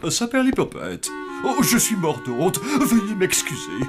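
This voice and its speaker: A fussy, greedy cartoon hotelier in a high voice that swoops wildly. He is theatrical and easily flustered, with loud music behind him. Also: wildly swooping pitch, a little slow, full character voice. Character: excitable, greedy, fussily apologetic cartoon hotelier. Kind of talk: French cartoon dub acting.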